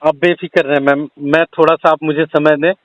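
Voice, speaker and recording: A man's voice: on the high side, flat delivery, a little fast, dry recording. A man, politely reassuring and sympathetic in a phone conversation. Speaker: video creator taking a teacher's call. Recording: muffled recorded phone call.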